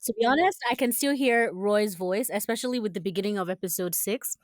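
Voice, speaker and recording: A woman's voice: mid-range voice, brisk, dry recording, studio quality. A woman, a voice actor, chatting casually on a panel in an informal, giggly manner.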